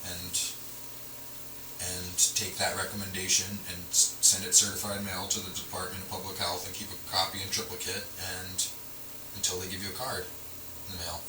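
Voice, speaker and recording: Noisy audio, picked up from a distance, carries a man with a fairly low voice. He speaks haltingly and repeats words as he politely argues his case. The delivery is conversational and hesitant. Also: level tone, sharp tone, roomy sound. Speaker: citizen stopped by police, medical marijuana patient. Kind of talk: casual argumentative conversation.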